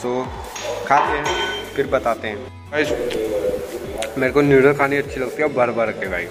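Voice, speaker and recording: A man's voice: medium pitch, moderate pace, over loud music. A man, chatting casually and teasing his companion as he films a food vlog in a small restaurant. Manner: chatty, teasing, mixes a little English. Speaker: food vlogger.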